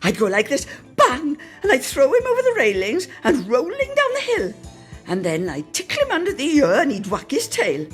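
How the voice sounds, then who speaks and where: A woman's voice: sits high, wildly swooping pitch, normal speed, faint music behind, voice pushed up. A woman voicing an eager, boastful small boy at play, in a dramatic radio story reading. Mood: excited, boastful. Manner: eager, breathless, playful boasting. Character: excitable, boastful small boy playing in snow.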